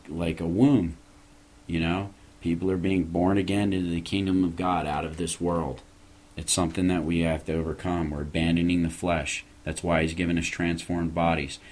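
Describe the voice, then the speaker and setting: Very deep-voiced male preacher. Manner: earnest, exhorting, rising on key phrases. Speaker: show host preaching. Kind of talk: evangelistic sermon.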